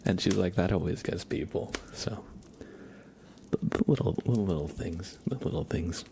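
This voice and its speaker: A man hosting an ASMR livestream in a hushed, unhurried, rambling way, speaking softly and casually close to the microphone. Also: low voice, gravelly, background noise. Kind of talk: live ASMR, soft whispering and quiet casual chat.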